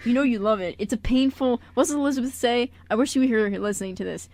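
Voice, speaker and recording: A woman's voice: a little high, fast talker, darkish tone. A woman chatting casually on a radio show in a slangy, teasing manner. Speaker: radio show cast member. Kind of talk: casual chat.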